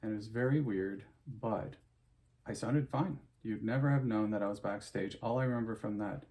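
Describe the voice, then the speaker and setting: A middle-aged man with a somewhat low voice and a gravelly, creaky edge talks straight to his audience. He is an opera singer telling stories from his career in a casual, meandering monologue, stopping and restarting his sentences, and he closes with kind advice to his viewers. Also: normal speed. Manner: chatty, full of fillers and restarts, warm sign-off advice.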